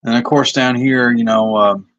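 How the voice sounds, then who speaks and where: A man's voice: mid-range voice, little pitch movement, normal speed, a bit bright, no room sound, lo-fi sound. A man with a Kentucky accent, a comic artist talking casually and self-critically about his pages on a livestream. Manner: rambling, self-critical, hesitant. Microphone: phone.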